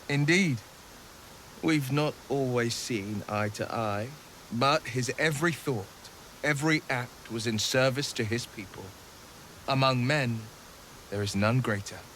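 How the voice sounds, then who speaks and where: Man acting an earnest noble hero, mid-pitched. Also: fairly bright tone, slight background noise, lightly acted, rough recording. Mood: admiring. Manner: heartfelt, eloquent, measured. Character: earnest, noble young male adventurer hero. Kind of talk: dramatic video game acting.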